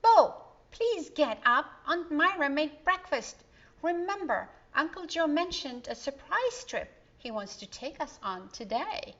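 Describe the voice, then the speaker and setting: An eager little girl, as a woman puts her on in a storybook, urging her brother along in a high, bouncing voice. The recording sounds dull and lo-fi. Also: big pitch swings, unhurried, a bit bright, heavily acted. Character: eager young girl, a kind niece.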